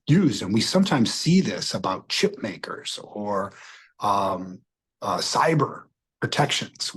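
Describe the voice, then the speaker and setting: A man with an American accent and a husky voice, a security expert giving hesitant, measured interview answers. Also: on the low side.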